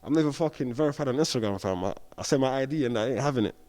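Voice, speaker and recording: Young London drill rapper guest, rapid slangy chat, dark tone, muffled by mask. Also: male voice, mid-range voice, expressive. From born in Somalia, raised in Homerton, London. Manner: slangy, laid-back, muffled behind a mask. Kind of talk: casual interview chat.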